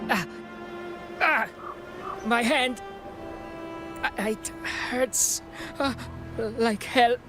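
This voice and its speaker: Wounded female traveller, pained and faltering, very drawn-out speech, higher voice, game dialogue. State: in pain, stammering. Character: injured female pilgrim in pain. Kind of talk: video game character acting. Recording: music behind the voice.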